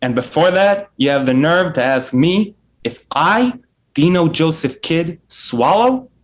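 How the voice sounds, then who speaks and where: A man's voice: a little high, expressive, a little slow. A man playing a menacing, foul-mouthed gunman who taunts, in a screenplay table read. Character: menacing, foul-mouthed male thug with a gun.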